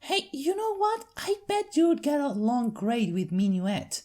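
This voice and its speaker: Young female cartoon pony played by a woman, high-pitched, lively, inquisitive and chatty. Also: measured pace. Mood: friendly. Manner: bubbly, inquisitive.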